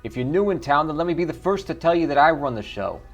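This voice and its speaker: A man's voice: a little high, animated, fast, faint music behind, voice pushed up. A man voicing a brash, bullying, crooked ork homicide detective in a video game. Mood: threatening. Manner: blustering, bullying.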